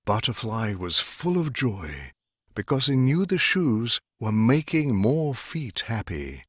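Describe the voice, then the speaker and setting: A man narrating a rhyming children's bedtime story in expressive, measured verse. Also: mid-range voice, breathy edge, dry recording, studio quality.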